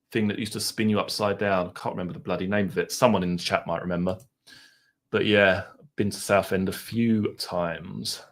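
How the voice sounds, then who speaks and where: Chatty man with an Essex accent. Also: lowish voice. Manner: rambling, chatty, reads out viewer messages; mild swearing, laid-back banter. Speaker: live stream host. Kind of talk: casual live stream chat with viewers.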